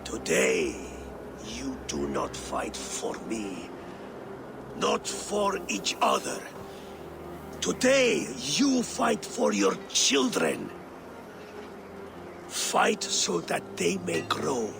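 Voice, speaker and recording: Gravelly old Viking jarl. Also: male voice, a little high, wildly swooping pitch, extremely slow, pauses often, background noise, heavily acted. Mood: rousing, fierce. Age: older. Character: aging, ailing Viking jarl, proud father rallying his clan. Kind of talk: dramatic video game acting.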